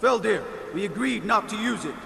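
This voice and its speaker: A man's voice: high voice, lively intonation, moderate pace, gravelly, roomy sound, long reverb tail, full character voice. A man playing an impatient, hot-headed Nord warrior in a video game, speaking bluntly and urgently. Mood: impatient, stubborn. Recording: loud background noise.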